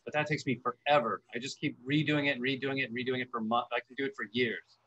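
Bright-voiced man, hesitant, on a video call. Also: mid-range voice, fairly even intonation, quick pace, slightly rough, muffled recording. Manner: hesitant, repeats words. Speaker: paleoartist guest. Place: workshop studio. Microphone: video call webcam.

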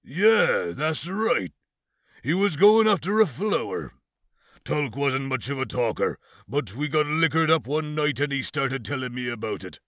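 Guy doing a boozy alien smuggler for an audiobook, hesitant and chatty, bright dry sound. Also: medium pitch, expressive, measured pace, dry recording. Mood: hazy, compliant. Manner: casual, hesitant, slangy. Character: one-armed male alien smuggler barfly, loose-lipped and tipsy. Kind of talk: audiobook character dialogue.

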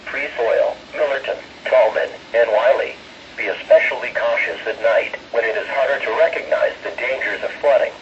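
A synthetic male voice with an American accent, reading formal emergency weather bulletins in a measured, impersonal way through a weather radio speaker. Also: mid-range voice. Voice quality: synthetic text-to-speech voice. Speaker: automated weather radio announcer. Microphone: camera pointed at a small radio speaker. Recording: muffled, noisy radio speaker recorded in a room.

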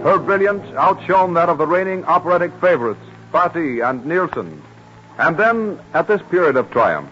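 A man's voice: mid-range voice, a bit bright, slightly rough. A man giving formal, dramatic scripted narration in the style of old-time radio. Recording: noisy, muffled vintage radio broadcast.

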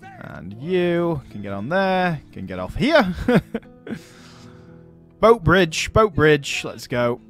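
A man's voice: fairly high pitch, big pitch swings, very slow, pauses often, soft background music. A man giving casual let's-play commentary on a strategy game, chatting, laughing often and talking to his units as friends. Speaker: gaming YouTuber.

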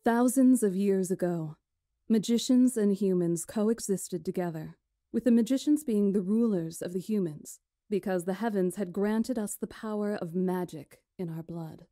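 Woman doing a commanding witch storyteller for a visual novel, soft dark tone, slow formal delivery, dry sound. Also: mid-range voice, dry recording, natural voice. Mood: solemn storytelling. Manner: formal, deliberate, imperious storytelling. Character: poised, commanding witch storyteller lecturing a council.

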